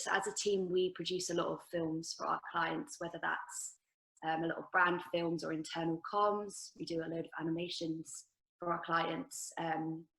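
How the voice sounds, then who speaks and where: A young woman talks about her work in a casual, unhurried way, dotted with ums. Her voice is fairly low and stays flat in pitch. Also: gravelly, poor audio. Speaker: production assistant, panelist. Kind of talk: career panel talk.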